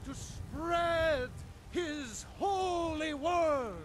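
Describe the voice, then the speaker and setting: An actor playing a fervent street preacher in a fantasy video game, declaiming like an orator. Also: male voice, extremely high pitch, slight background noise, full character voice. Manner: declamatory, ranting, slow oratory. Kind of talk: video game character acting.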